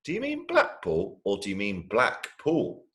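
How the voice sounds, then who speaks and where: A man's voice: fairly low pitch, wildly swooping pitch, unhurried, fairly bright tone, full character voice. A man voicing a listless older brother, a boy in a storybook who answers in an offhand way. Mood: playing along. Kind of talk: storybook character voice.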